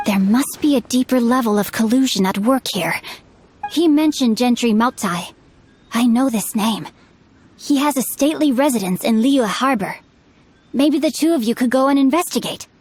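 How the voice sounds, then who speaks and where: A woman's voice: a little high, animated, moderate pace, a little mellow, slightly rough. A woman voicing a bookish, composed young nobleman swordsman in a video game, speaking formally and eloquently over music. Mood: composed, determined. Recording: music behind the voice.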